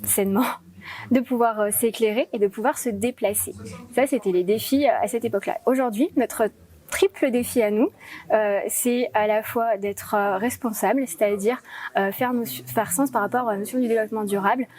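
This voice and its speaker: A woman's voice: a little high, steady tone, a little fast, darkish tone. A woman with a French accent giving explanatory interview answers as a guest, didactic and building her points step by step. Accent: French (France). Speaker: project lead interviewed as guest.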